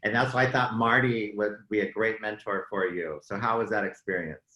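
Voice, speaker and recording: A man's voice: lowish voice, steady tone, brisk, bright, forceful tone. Echoey man moderating a casual panel. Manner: encouraging, joking. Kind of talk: casual interview hosting. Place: indoor room on a group video call. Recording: roomy, echoing call audio.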